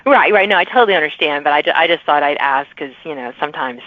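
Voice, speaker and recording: A woman's voice: low voice, lively intonation, fast talker, dry recording. A woman hosting a podcast interview, chatting casually and often restarting her sentences. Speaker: podcast host. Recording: dull lo-fi dry recording.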